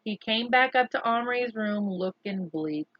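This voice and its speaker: A woman reading a chapter book aloud to children in an expressive, deliberate storyteller's way. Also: medium pitch, dry recording, lo-fi sound.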